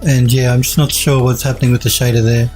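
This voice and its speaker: Man, 40s, stuffed-up nasal head cold, warm-toned enthusiastic streamer, faint music behind. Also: medium pitch, flat delivery, quick pace. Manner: enthusiastic, repeats praise, restarts. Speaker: 3D art streamer reviewing viewer work. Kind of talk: casual live-stream critique. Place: home streaming room, indoors.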